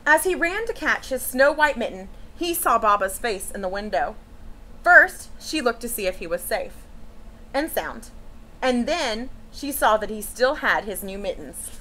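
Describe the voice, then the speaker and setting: A woman reading a picture book to young students as a teacher, in an expressive storytelling style with chatty asides. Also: fairly high pitch, measured pace, a little hiss or hum, rough recording. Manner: animated, with asides and questions to children. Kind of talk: expressive children's read-aloud storytelling.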